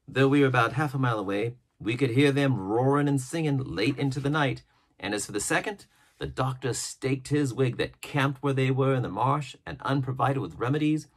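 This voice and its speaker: A man with a husky voice narrating a classic adventure novel as an expressive storybook reading. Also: medium pitch, normal speed. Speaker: audiobook narrator.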